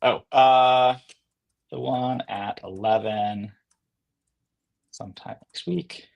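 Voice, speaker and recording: A man speaking as an interview guest, explaining and demonstrating software in a casual, conversational style. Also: medium pitch, steady tone, slow pace, vocal fry, muffled recording. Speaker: podcast guest, company co-founder and CEO.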